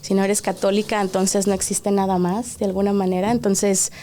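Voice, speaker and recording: A woman with a Mexican accent from Guadalajara, co-hosting a podcast in a calm, reflective casual chat. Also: mid-range voice, a little fast, a little hiss or hum. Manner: calm, reflective, gently teasing. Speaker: podcast co-host. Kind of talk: casual chat and scripted intro.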